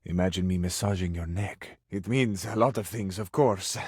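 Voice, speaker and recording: A man's voice: sits low, normal speed, a little mellow, breathy edge, dry recording, studio quality. A man playing a romantic, grandiose Italian lover in a video game, speaking with an Italian accent in a flowery, amorous, theatrical manner. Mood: sensual, intimate. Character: romantic, grandiose Italian household object come to life, suave male lover.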